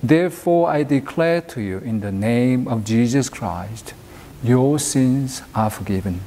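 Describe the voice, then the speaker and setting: A middle-aged man leading church worship as minister, reading prayers and scripture in a solemn, measured, formal way. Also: mid-range voice, expressive, dark tone. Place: inside a church, at a pulpit. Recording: long echoing hall reverb.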